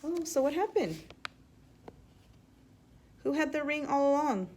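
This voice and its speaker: A woman with a fairly high voice reads a children's storybook aloud. She is expressive in her delivery and breaks off now and then to explain or ask her young listeners a question. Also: unhurried, slight background noise. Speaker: teacher reading aloud.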